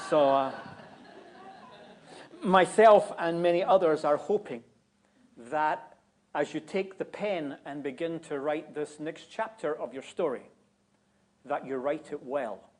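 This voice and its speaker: A man's voice: fairly high pitch, slow, long pauses. Jovial Scottish man preaching. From Scotland. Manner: joking, chatty. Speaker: guest preacher. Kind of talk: sermon. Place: church sanctuary.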